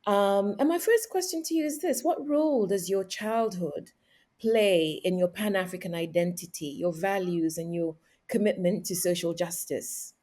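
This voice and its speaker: A woman hosting an interview show, introducing her guest and asking deliberate questions. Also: medium pitch, a little mellow. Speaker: podcast host.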